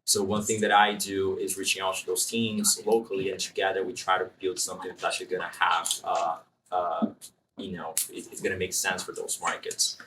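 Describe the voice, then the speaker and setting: A man speaking as an interview guest in front of a student audience, relaxed and explanatory as he thinks aloud. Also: deep voice, a little fast. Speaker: marketing executive guest. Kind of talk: casual interview answers. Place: room with a live student audience. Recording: distant room mic, faint noise.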